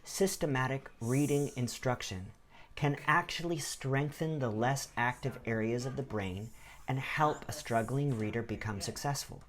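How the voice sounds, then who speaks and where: A man presenting a scripted educational video in the first person, with an expressive, explanatory delivery. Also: mid-range voice, a little slow. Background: background music.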